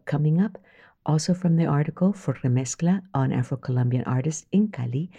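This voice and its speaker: Music radio host with a gravelly fry, warm studio-mic presence. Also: mid-range voice.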